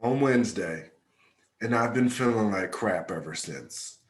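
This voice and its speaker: A man's voice: on the low side, lively intonation, measured pace. Stuffy-nosed guy co-hosting a chat show, laid back, tells stories with lots of slang. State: congested. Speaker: podcast co-host. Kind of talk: casual chat.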